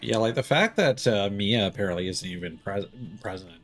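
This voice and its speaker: Lowish-voiced man casually commenting on a game. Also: animated, a little fast, a bit bright. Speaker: game streamer. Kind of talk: casual live gameplay commentary.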